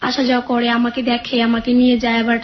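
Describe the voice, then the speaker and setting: An 18-year-old woman telling her own life story in a radio interview, hesitant and soft-spoken. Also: fairly high pitch, completely flat delivery, fast, muffled recording. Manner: hesitant, soft-spoken, with frequent "mane" fillers.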